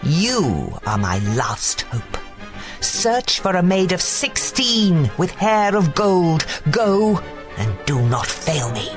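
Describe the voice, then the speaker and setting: A woman voicing a wicked female fairy in a storybook reading, slow and threatening, with music behind. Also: on the low side, sing-song, voice pushed up. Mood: commanding.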